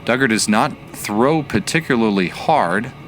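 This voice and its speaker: A man giving baseball play-by-play commentary as the announcer, measured and analytical in his delivery. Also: medium pitch, expressive, fairly bright tone, faint music behind.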